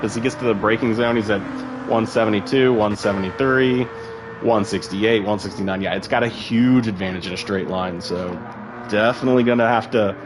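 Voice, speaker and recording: A man's voice: medium pitch, noisy background. A man giving casual, rambling live commentary on an online sim race, full of fillers and asides.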